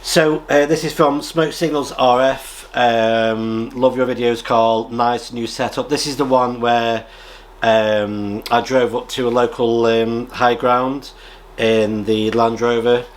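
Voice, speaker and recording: A British man chatting casually as a radio hobby vlogger, rambling in a halting way and often clearing his throat. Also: medium pitch, little pitch movement. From United Kingdom. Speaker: amateur radio vlogger and presenter. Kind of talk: casual rambling chat, reading out viewer comments.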